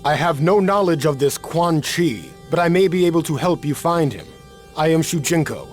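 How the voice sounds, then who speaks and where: Higher-voiced, earnest warrior champion played by a man. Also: lightly acted. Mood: earnest, composed. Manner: measured, polite, formal. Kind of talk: video game cutscene acting. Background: music.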